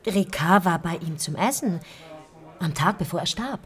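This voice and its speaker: A woman's voice: on the low side, lively intonation, moderate pace, very noisy, natural voice. An actress playing an alert, startled woman asking questions in a radio crime drama. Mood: startled, urgent.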